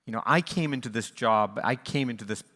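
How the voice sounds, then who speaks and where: A man's voice: medium pitch, fairly quick, pushed and bright, long reverb tail. A man moderating a panel and giving closing remarks, earnest and reflective, with frequent restarts. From born in Sri Lanka, raised in East and West Africa. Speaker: panel moderator, conservation charity leader.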